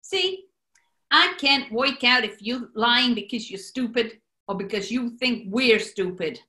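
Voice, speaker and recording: A woman's voice: a little high, measured pace, bright, forceful tone. A woman playing a brash, scheming male gangster boss with an American accent in a 1940s-style radio play, wisecracking and bossy. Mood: menacing, mocking. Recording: roomy echo.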